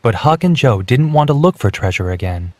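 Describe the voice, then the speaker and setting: A man's voice: mid-range voice, sing-song, a little fast, a little mellow, no room sound. A man reading scripted narration for a children's storybook, with music behind him. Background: background music.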